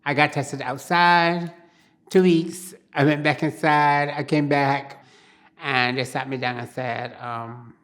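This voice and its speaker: A woman's voice: low-pitched, steady tone, slow. An older woman from Barbados, telling her life story in an oral history interview in a plain-spoken, reflective way.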